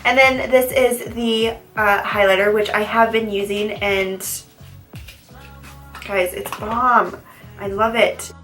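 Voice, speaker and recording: A young woman chatting through a makeup tutorial with a head cold, sniffly and a little raspy, rambling and repeating herself. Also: mid-range voice, steady tone, slow, fairly bright tone, soft background music, poor audio. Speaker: beauty vlogger. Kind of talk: casual makeup tutorial chat.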